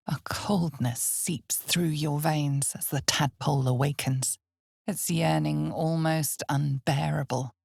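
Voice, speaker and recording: A woman voicing an ominous, knowing narrator of a dark fantasy game, speaking in a measured, dramatic, often hushed way. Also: deep voice, creaky, breathy edge, dry recording, studio quality, full character voice.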